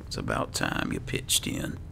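A man giving casual roleplay game commentary, muttering to himself in a gravelly, often half-whispered voice. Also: a little slow, background noise. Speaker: gamer playing and narrating.

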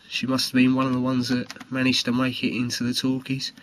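A man informally presenting collectible cards for sale in a homemade video, speaking haltingly. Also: medium pitch, monotone, slight background noise. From United Kingdom. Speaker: trading card seller presenting his video. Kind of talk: informal product showcase.

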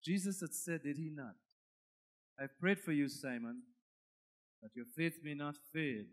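A man's voice: fairly high pitch, a little slow. A man with a Guyanese accent preaching a sermon as a pastor, emphatic and dramatic, repeating phrases for effect.

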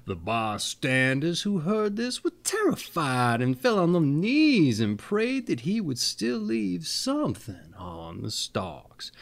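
A man in his thirties reading fairy tales aloud expressively, with theatrical emphasis, drawn-out words and folksy asides. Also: on the high side, creaky. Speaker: storyteller, show host.

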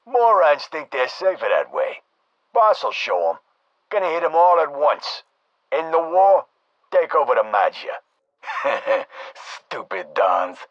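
A man's voice: on the high side, very animated, slow pace, no room sound, dull audio. A man playing a cocky, streetwise American mob thug in a video game, heard through a radio-like filter. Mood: gloating. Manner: streetwise slang.

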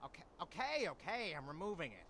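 A man's voice: fairly high pitch, sing-song, a little fast, fairly bright tone, a little hiss or hum. A man voicing a nervous, whiny, foul-mouthed animal scavenger in a cartoon game, hesitant and exasperated. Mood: nervous, reluctant.

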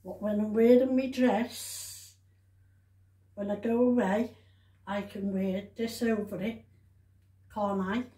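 A woman's voice: on the high side, dark tone. A woman chats casually at home, rambling and repeating herself, often tacking little questions onto the ends of her remarks. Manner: chatty, repetitive, with trailing tag questions. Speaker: vlogger showing shopping haul. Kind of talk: casual rambling chat to camera. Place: indoors at home. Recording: roomy, echoey home recording.

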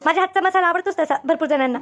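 Very fast, high-voiced Maharashtrian village woman acted by a woman. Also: a bit bright. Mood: obliging. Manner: chatty, colloquial, assured. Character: Geeta, obliging, talkative village woman friend. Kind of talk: Marathi comedy cartoon dialogue.

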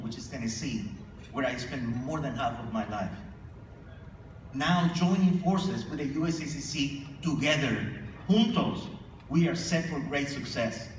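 A man giving a formal, emphatic speech from a podium on a convention stage, leading calls for applause. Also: mid-range voice. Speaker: chamber of commerce leader presenting awards. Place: large convention ballroom stage. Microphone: podium microphone, filmed from far in the audience. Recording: echoey hall, distant mic, audience noise. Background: audience ambience.

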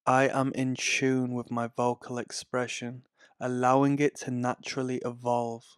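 A man reading guided affirmations in a calm, soothing, scripted way. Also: mid-range voice, clean tone, no room sound, clean studio sound. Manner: slow, calm, soothing delivery. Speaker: affirmation narrator.

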